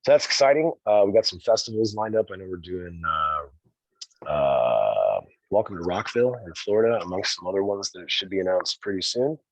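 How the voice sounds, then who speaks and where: Low-voiced laid-back male singer, dull dry audio. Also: expressive, moderate pace, no echo. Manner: easygoing, rambling, calls the host "man" and "dude". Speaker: band singer. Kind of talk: casual interview talk.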